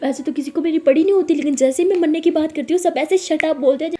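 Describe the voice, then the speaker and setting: A woman's voice: sits high, a little fast, warm tone, close to an everyday voice. Woman putting on a whiny dramatic teen girl. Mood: melodramatic. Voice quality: whiny, melodramatic complaining. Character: dramatic teen girl, sulky and self-pitying.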